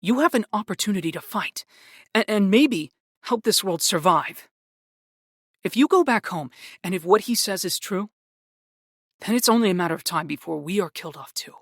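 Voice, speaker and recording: A man's voice: sits high, expressive, normal speed, pauses often, fairly bright tone, no room sound, full character voice. Man playing an earnest, dry-miked young friend. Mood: earnest, urging. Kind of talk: fantasy audio drama acting.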